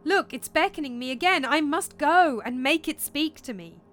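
A woman's voice: a little high, lively intonation, normal speed, fairly bright tone, smooth, faint music behind. A woman voicing an anguished, reckless young prince in a storybook reading, with a dramatic, questioning delivery. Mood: desperate, defiant.

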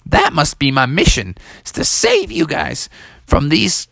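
A man's voice: a little high, huge pitch swings, unhurried, gravelly, fairly bright tone, no echo, voice pushed up, heavily acted. A man voicing a brave, cheerful talking cowboy frog who boasts he will save the town. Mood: heroic.

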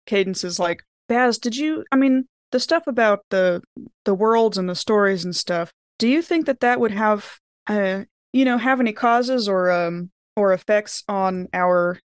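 A woman's voice: mid-range voice, no room sound, clean studio sound. A woman playing a tabletop role-playing game, speaking casually in character, tentative and often restarting her sentences.

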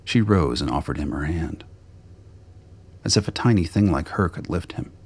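A man's voice: rumbling low, expressive, normal speed, darkish tone, breathy edge. A man narrating a scripted radio drama.

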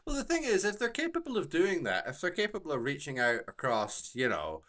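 A man co-hosting a podcast, discussing and critiquing in a casual, halting way, repeating words for emphasis. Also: fairly high pitch, wildly swooping pitch, fairly bright tone. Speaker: podcast co-host.

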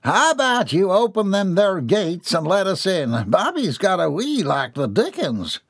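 Man doing a cheerful high-voiced hillbilly dad. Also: sing-song, measured pace, husky, dry recording, studio quality, heavily acted. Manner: folksy, cheerful drawling talk. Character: cheerful backwoods hillbilly father, proud and carefree.

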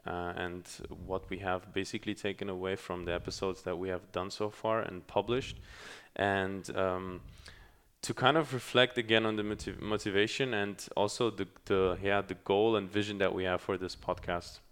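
A man from Germany hosting a podcast, speaking in a halting way with many pauses and restarts. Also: sits low, steady tone, a bit bright, clear, resonant tone. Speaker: podcast host. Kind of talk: casual podcast chat.

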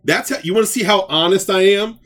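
A man's voice: high-pitched, fast, a bit bright. A man co-hosting a podcast in casual, slangy, informal banter. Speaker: podcast co-host. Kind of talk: casual banter reviewing movies and shows.

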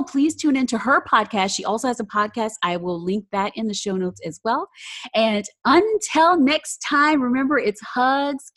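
A woman's voice: fairly high pitch, fairly bright tone. A woman hosting a podcast, bubbly and effusive, wrapping up an episode and reading her outro. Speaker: podcast host. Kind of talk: casual podcast chat and scripted outro.

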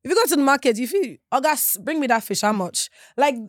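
A woman's voice: sits high, lively intonation, fast talker, no room sound, clean studio sound. A young woman with a British Nigerian accent, hosting a casual panel chat and asking probing follow-up questions. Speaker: podcast host.

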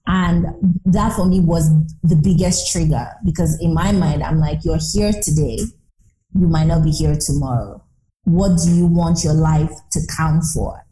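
A woman's voice: sits low, a little slow, dark tone. A woman in her thirties speaking reflectively as an interview guest, earnest and emphatic, building her points through repetition. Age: 30s. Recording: roomy, echoing sound.

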